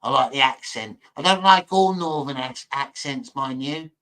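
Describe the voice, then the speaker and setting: A man's voice: medium pitch, moderate pace, bright, forceful tone. A man from Manchester with a northern English accent mixed with London, chatting casually to live viewers in a blunt, slangy way and repeating his phrases. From Manchester, northern England, later lived in London. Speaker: livestream host chatting with viewers. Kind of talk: casual chat, reading and answering live comments.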